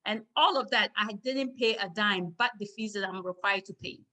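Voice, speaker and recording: A woman's voice: medium pitch, normal speed, pushed and bright, low-quality recording. A woman from Ghana, a business owner, speaking firmly and emphatically as a guest on a video call. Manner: firm, emphatic. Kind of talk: casual video call discussion.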